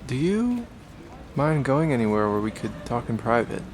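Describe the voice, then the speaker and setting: A hesitant, polite, somewhat lost newcomer, played by a man in a naturalistic audio play. His voice is mid-pitched and halting. Clear urban traffic noise is heard behind him. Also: sing-song, lightly acted. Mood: confused. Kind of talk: naturalistic audio drama acting.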